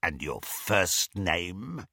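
A savage werewolf thug from a storybook. The voice is a low, rasping growl that drags slowly over words, gloating and menacing. It sounds close and dry. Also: male voice, big pitch swings, sharp tone, dry recording, heavily acted. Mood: gruff bullying. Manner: slow, relishing, menacing.

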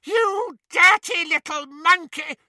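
A man's voice: very animated, slow pace, bright, forceful tone, dry recording, clean studio sound, full character voice. A man voicing a cruel, menacing witch who sneers and shrieks, raising his voice well above his own. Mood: shrieking. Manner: sneering, shrill. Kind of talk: audiobook character voice.

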